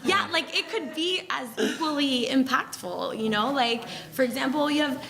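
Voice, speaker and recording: A woman's voice: high-pitched, lively intonation, normal speed, vocal fry, pushed and bright. A woman speaking as a panelist, talking in a chatty, loose way full of fillers and restarts. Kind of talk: panel discussion.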